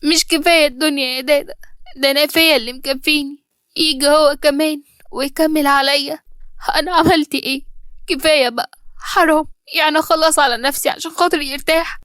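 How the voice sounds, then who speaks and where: A woman's voice: high voice, fairly even intonation, fast, a bit bright, no room sound. A woman voicing a wronged young woman, emotional and pleading, defiant through her hurt, in a drama. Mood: desperate.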